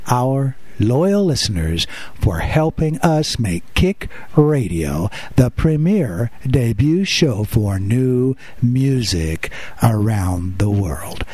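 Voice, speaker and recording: Male host, slightly husky, very animated pitch, dry close-mic sound, self-mocking radio chatter. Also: no room sound. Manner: chatty, self-deprecating, with filler pauses. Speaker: podcast host. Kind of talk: casual radio patter. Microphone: close to the microphone.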